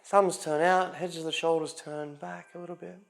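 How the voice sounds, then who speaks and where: A man leading a guided yoga class as instructor, giving short, calm, unhurried spoken cues. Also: a little high, vocal fry.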